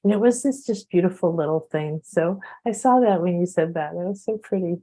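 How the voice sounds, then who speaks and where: A woman host, middle-aged, chatty and animated, tells stories and laughs a lot. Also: fairly low pitch, a little fast. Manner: animated storyteller, laughs often. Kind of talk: casual spiritual chat show.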